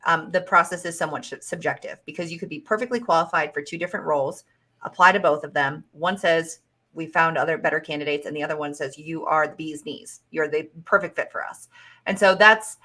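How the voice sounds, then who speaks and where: A woman career coach giving interview advice in a measured, encouraging way. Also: sits low, bright, forceful tone. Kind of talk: casual advice chat.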